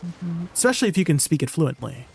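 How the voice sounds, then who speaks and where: A man's voice: fairly high pitch, sing-song, fast talker, gravelly, darkish tone, slightly rough. A man live-streaming, chatting casually with viewers and thinking aloud with lots of fillers and restarts.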